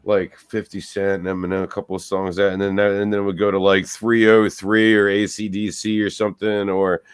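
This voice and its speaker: Male, thirties, deep pitch, very dry sound; teasing, profane podcast co-host banter. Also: flat delivery, brisk, clear, resonant tone, no room sound. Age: 30s. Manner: teasing, sweary. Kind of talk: casual banter.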